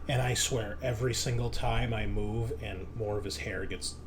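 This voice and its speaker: A man's voice: on the low side, fairly even intonation, moderate pace. A man casually explaining a tabletop game situation as game master, in an informal way. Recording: home session with loud background noise.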